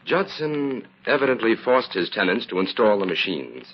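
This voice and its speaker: An actor playing a calm, shrewd crime investigator in an old-time radio drama, explaining things crisply and confidently. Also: male voice, fairly low pitch, moderate pace. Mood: explaining, serious. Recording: muffled, hissy old radio recording.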